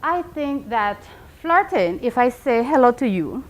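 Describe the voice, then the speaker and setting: A woman presenting the discussion topic on a talk panel, animated and quizzing the others. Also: fairly high pitch, unhurried, rough recording. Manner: animated, asks the panel questions. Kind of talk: casual panel chat.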